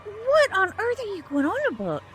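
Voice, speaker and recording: An actress playing a fiercely protective, suspicious mother in an audio drama, speaking in a stern, sarcastic, deliberate way. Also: extremely high pitch, wildly swooping pitch, fairly bright tone, slight background noise, exaggerated voice. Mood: wary.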